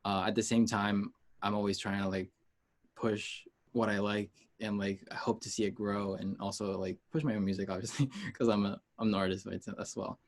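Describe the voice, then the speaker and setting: Man, from the United States, fairly low pitch, casual chat, halting, rambling, informal interview guest. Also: fairly even intonation. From United States, Peruvian heritage. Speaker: interview guest, music artist.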